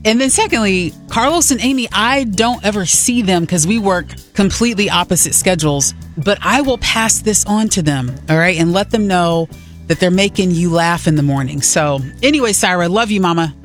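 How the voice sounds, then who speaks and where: A woman's voice: on the low side, moderate pace, soft background music. A woman radio DJ chatting on air, affectionate and chatty as she answers a listener's message.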